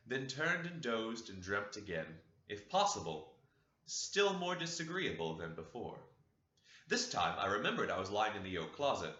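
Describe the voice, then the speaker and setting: A man's voice: mid-range voice, pushed and bright, reverberant, muffled recording. A man narrating a classic novel as an audiobook, reading dramatically.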